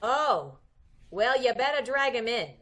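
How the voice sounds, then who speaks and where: A woman's voice: on the high side, big pitch swings, a little slow, fairly bright tone, exaggerated voice. A woman playing a slangy, melodramatic young chorus girl in an old-time radio comedy mystery. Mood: defensive, flippant. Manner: slangy, theatrical, wailing protests.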